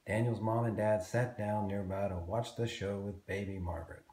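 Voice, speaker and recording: A man reading a children's story aloud, expressively and deliberately, drawing out words for young listeners. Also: on the low side, fairly even intonation, dark tone, reverberant, slight background noise.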